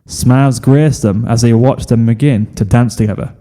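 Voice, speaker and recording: A man's voice: mid-range voice, steady tone, moderate pace, warm tone. A man reading a story aloud as a scripted narration, in the role of fan fiction narrator. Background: music.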